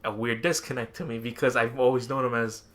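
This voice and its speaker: A man podcast host chatting casually about video games and anime, animated and swearing freely, often acting out his own reactions. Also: mid-range voice, quick pace. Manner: animated, profane, frequently quoting himself.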